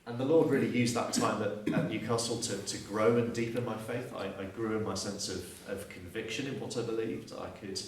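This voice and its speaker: A man's voice: on the low side, fairly even intonation, a little fast, hardly any pauses, heavy reverb, recorded from a distance. A man in his thirties from Surrey with a Southern English accent, giving an earnest, reflective personal testimony and often restarting his sentences. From Surrey, southeast England. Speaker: guest speaker.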